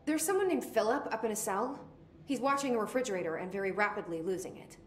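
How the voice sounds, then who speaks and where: An actress playing a determined, level-headed young heroine in a video game, speaking in a direct way. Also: female voice, medium pitch, expressive, quick pace, slight background noise, lightly acted. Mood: concerned, steady. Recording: echoey space with music behind.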